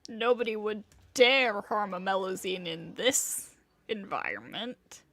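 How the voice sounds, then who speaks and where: A woman's voice: mid-range voice, expressive, takes it slowly, bright, forceful tone, no room sound. A woman game streamer giving casual live reactions as she plays.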